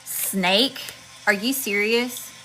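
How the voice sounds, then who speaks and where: A woman reading tarot cards for her viewers in a chatty, slangy way, calling them y'all and listing card meanings one word at a time, with her voice going hoarse. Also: mid-range voice, very slow, noisy background. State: losing her voice. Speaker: tarot reader channeling messages. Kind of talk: casual spiritual reading to viewers.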